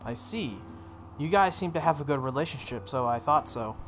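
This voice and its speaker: A man's voice: medium pitch, animated, moderate pace, fairly bright tone, natural voice. A man voicing an earnest, uncertain teenage boy in a visual novel, plain-spoken and questioning, over some noise. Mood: curious, earnest. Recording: noticeable background noise.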